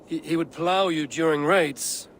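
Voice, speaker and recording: An actor playing a calm, wry, obliging Viking warrior in a video game. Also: male voice, a little high, a little slow, slight background noise. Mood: amused, curious.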